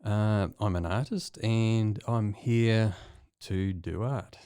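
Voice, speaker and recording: Low-voiced New Zealand man. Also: takes it slowly, smooth, no echo, studio quality. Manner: relaxed, hesitant with many ums, chuckles often. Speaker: guest artist. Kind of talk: casual interview chat.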